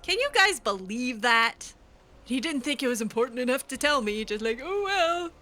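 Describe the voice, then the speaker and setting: A woman's voice: high-pitched, sing-song, moderate pace, bright, forceful tone. A woman giving casual, in-character roleplay commentary on a video game, sarcastic and exasperated. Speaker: gamer playing in character.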